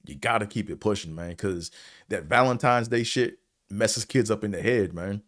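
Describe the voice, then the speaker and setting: A man with a deep voice and a slight stutter, giving a blunt, profane, rambling opinion monologue as a show host, full of fillers. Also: normal speed, fairly bright tone. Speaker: show host reviewing a series.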